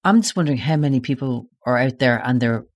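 A woman's voice: very deep voice, quick pace, darkish tone. A woman co-hosting a podcast who asks curious, probing questions in a casual chat. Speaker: podcast co-host.

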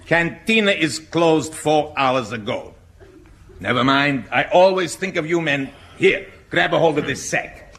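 An actor playing a ruthless, blunt guerrilla captain with a Spanish accent in a British sitcom, barking out instructions. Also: male voice, fairly high pitch, expressive, a little slow, a bit bright. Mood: brusque, commanding.

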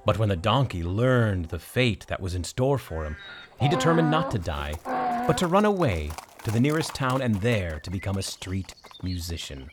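A man reading a folk tale as a storybook narrator, in a measured, expressive way. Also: fairly low pitch, music in the background. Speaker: story reader.

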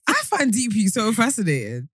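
A woman's voice: mid-range voice, sing-song, fairly quick, a bit bright, no room sound, clean studio sound. A woman, co-hosting a casual, jokey podcast chat and giggling often. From East London. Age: 30s. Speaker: podcast co-host.

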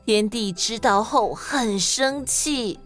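A woman with a Taiwanese Mandarin accent narrating and explaining in a scripted, expressive style for children. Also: fairly high pitch, takes it slowly, soft background music. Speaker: children's story podcast host and narrator.

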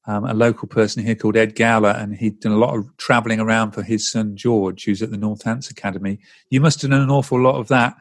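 A man hosting a podcast interview, chatty and hesitant, with many fillers. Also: fairly low pitch. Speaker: podcast host.

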